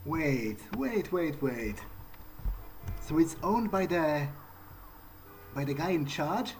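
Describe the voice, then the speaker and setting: A man's voice: a little high, a little mellow, a little hiss or hum, poor audio. A man playing a newly turned vampire, thinking aloud in a hesitant, pragmatic, wary way as he role-plays a video game. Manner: hesitant, halting musing. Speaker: gamer role-playing his character. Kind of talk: in-character gameplay thinking aloud.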